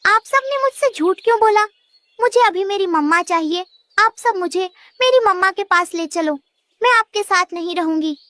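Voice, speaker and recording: A little girl bird from a children's cartoon, acted by a woman, with a very high, piercingly bright voice. She is clingy and tearful, pleading in a childlike way, and the sound is close and dry. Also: animated, normal speed, no room sound, full character voice. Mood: upset, demanding. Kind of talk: Hindi children's cartoon voice acting.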